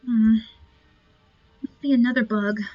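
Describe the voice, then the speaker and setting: A woman's voice: on the high side, steady tone, measured pace, dry recording. A woman giving casual commentary as she plays a game, thinking aloud in short, musing remarks. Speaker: gamer recording a playthrough. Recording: muffled lo-fi game capture. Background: game music.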